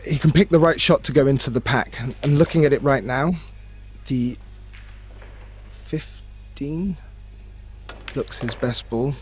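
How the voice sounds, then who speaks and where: A man giving conversational live pool commentary in measured phrases, often repeating a word as he thinks. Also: mid-range voice, breathy edge. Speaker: sports commentator. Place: remote commentary from his own location.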